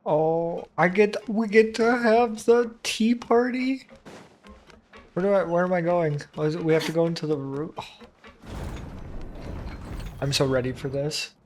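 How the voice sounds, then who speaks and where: A woman with a slightly low voice comments casually on a game playthrough. She is informal and chatty, a streamer reacting as she plays, with music behind her. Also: a little slow, long pauses, a little mellow.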